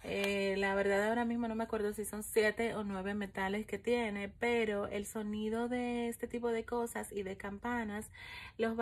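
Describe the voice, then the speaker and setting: A woman giving a casual spiritual fortune reading at home, filling gaps with "eh" and "um" and checking in with "¿ok?" again and again. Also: a little high. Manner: chatty, many fillers, frequent "¿ok?" check-ins. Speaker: coffee-ground and tarot reader. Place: home room with candles and an altar, indoors. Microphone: phone camera over the table, speaker off screen.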